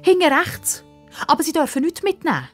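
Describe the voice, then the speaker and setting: A woman's voice: high-pitched, big pitch swings, a little fast, soft background music, voice pushed up. A woman voicing a strict young female librarian in a children's story, curt and dismissive. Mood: stern. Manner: clipped, dismissive.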